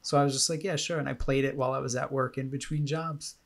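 Gravelly man chatting on a podcast. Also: mid-range voice, fairly even intonation, quick pace. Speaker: podcast co-host. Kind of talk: casual chat. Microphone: video call.

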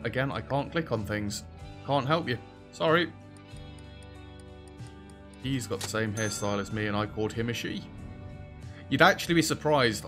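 A young British man with a rather deep, low-pitched voice gives casual let's-play commentary into a headset mic at home. He is chatty and self-deprecating and talks back to the game's characters. Faint music plays behind him. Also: fairly bright tone. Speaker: gaming video host.

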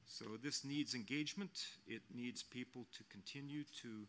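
A man in his sixties speaking as a mission official on a panel, measured and earnest, often restarting his sentences, into a desk microphone in a press hall. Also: medium pitch, a bit bright, a little hiss or hum. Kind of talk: unscripted panel remarks.

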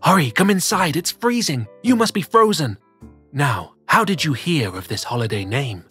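A man voicing a wise, gruff old woman in a storybook, with a raspy voice and commanding manner. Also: a little high, very animated, moderate pace, sharp tone, faint music behind, exaggerated voice. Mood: urgent, curious.

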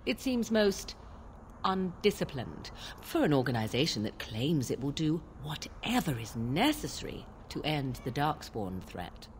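An actress playing a wise, prim older female mage in a fantasy video game, speaking in a measured, formal, probing way. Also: low-pitched, big pitch swings, slight background noise. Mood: prim disapproval. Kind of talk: video game dialogue acting.

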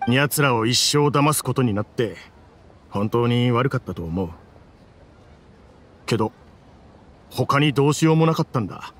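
A rough-talking, boastful desert father. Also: on the high side, fairly bright tone, a little hiss or hum. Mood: regretful, affectionate. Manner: rough, casual, teasing speech. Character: gruff, boastful, tender-hearted adoptive father, a desert mercenary leader. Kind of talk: voiced letter monologue in game cutscene.

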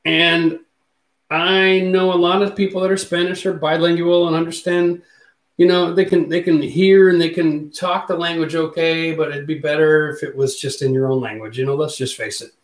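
A man's voice: on the high side, steady tone, normal speed, echoey room, low-quality recording. A man in his forties hosting a casual livestream, chatting with viewers and reading their comments aloud. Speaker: channel host. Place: home studio room. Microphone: studio mic on boom arm.

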